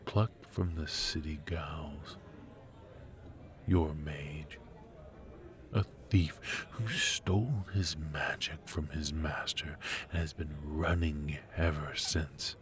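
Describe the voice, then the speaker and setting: A man playing a silky, mocking dark warlord in an intimate ASMR roleplay, with theatrical, taunting, drawn-out delivery. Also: very deep voice, steady tone, exaggerated voice. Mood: mocking, scornful. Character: dark male warlord villain, silky, mocking, menacing dream invader. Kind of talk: ASMR roleplay, intimate villain monologue addressed to the listener.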